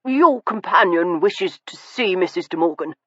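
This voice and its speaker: A woman voicing a stiff, nervous male butler who speaks formally and low, on a dull, dry recording. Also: expressive, moderate pace, a bit bright, dry recording. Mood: conspiratorial.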